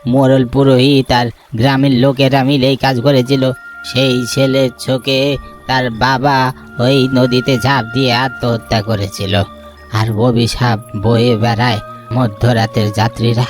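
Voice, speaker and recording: A man voicing a grave older village man in an animated ghost story, speaking solemnly and ominously. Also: medium pitch, unhurried, over music, natural voice.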